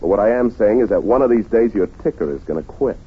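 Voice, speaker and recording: An actor playing a stern, exasperated best friend, blunt and preachy, in an old-time radio drama. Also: male voice, on the low side, lively intonation, fast talker, slightly rough. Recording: dull vintage radio recording.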